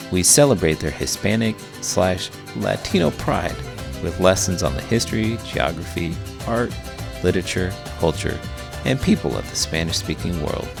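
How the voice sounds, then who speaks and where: A man doing an expressive, scripted read-aloud of a children's book. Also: low voice, vocal fry. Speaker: teacher reading children's books aloud.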